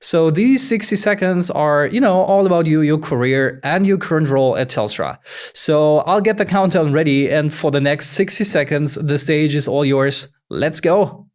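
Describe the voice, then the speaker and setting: A man's voice: on the high side, moderate pace. A man hosting a podcast interview, asking upbeat questions with many fillers. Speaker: podcast host.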